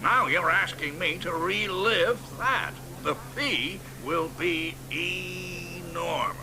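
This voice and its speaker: An actor playing a boastful, crude old American poet in a radio drama, drawling and dropping into hoarse whispers. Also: male voice, high-pitched, slow, music in the background. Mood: indignant.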